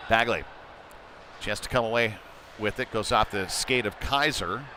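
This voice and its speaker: A man's voice: on the low side, moderate pace, noisy background. A man giving informal, conversational play-by-play commentary on an amateur hockey game. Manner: conversational and informal, excited when play heats up. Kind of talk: live amateur sports commentary.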